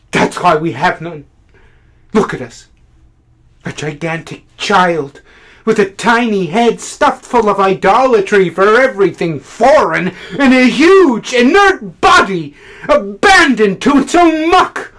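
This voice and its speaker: A man's voice: high-pitched, voice pushed up. A man playing a fervent, swooping literary critic. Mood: indignant. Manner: impassioned, halting then declamatory. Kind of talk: theatrical stage monologue.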